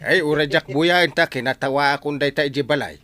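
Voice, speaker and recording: A man's voice: a little high, a little fast, bright, forceful tone. A man with an Ilocano accent playing a grumbling, bossy village captain in a radio comedy.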